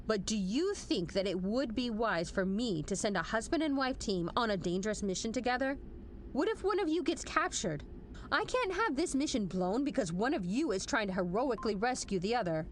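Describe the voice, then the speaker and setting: An actress playing a stern, exacting major general in an audio drama, firm and emphatic. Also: female voice, medium pitch, very animated, normal speed, slight background noise, close to an everyday voice. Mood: skeptical. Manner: firm, clipped orders, emphatic.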